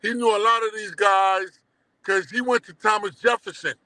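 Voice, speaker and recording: A middle-aged man with a Haitian accent, guest on a casual livestream interview, telling animated stories full of slang and swearing. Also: high voice, moderate pace, no echo, poor audio. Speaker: guest, documentary producer.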